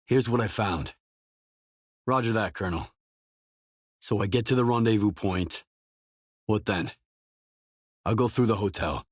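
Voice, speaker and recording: An actor playing a gruff, guarded, weary male video game protagonist, speaking terse, measured scripted lines in a husky voice. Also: on the low side, no room sound, clean studio sound. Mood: guarded, businesslike.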